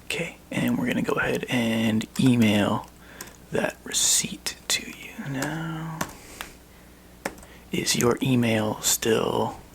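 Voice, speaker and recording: A man speaking softly and breathily close to the microphone in an ASMR roleplay, playing a laid-back, wry video game store clerk who chats casually with a customer. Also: a little hiss or hum. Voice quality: breathy whisper and soft low murmur. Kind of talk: ASMR roleplay, one-sided customer chat.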